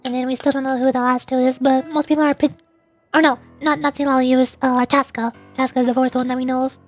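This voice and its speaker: High-pitched woman streaming a video game. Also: flat delivery, fast talker, faint music behind. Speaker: streamer, VTuber. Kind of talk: casual game stream commentary with live line reading.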